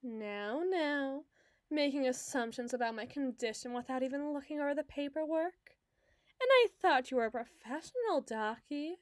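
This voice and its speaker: A woman's voice: high-pitched, animated, moderate pace, dry recording, studio quality. A woman playing an obsessive, lovesick hospital patient in an ASMR roleplay, talking in a dramatic, coy and wheedling way. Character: obsessive, clingy lovesick female patient, theatrical flirt.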